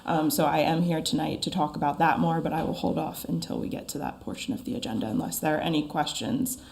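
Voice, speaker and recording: A woman attorney speaking formally at a town council meeting, her voice froggy and hoarse from a lingering cold, measured and methodical. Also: sits low, a little mellow. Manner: measured and methodical, with frequent ums. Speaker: attorney for an applicant. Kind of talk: formal public meeting presentation.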